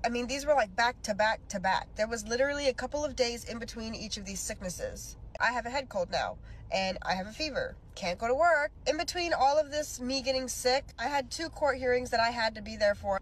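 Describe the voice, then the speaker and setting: A woman's voice: a little high, fairly quick, slight background noise. A woman with a chest cold venting about being fired in a rambling, aggrieved way. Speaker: social media poster. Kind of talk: venting clip to camera.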